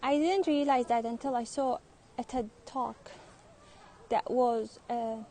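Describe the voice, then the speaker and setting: Young woman, student vlogger, unhurried and reflective, higher voice, faint background noise. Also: fairly even intonation, warm tone. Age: young adult. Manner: slow, thoughtful, hesitant delivery. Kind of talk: street interviews and personal vlog talk.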